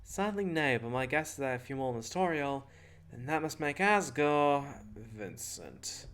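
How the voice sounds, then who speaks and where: A man's voice: mid-range voice, big pitch swings, measured pace, a bit bright, voice pushed up. A man playing a laid-back, joking female prankster in a game fan fiction, with a nonchalant, wry manner. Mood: casual explaining.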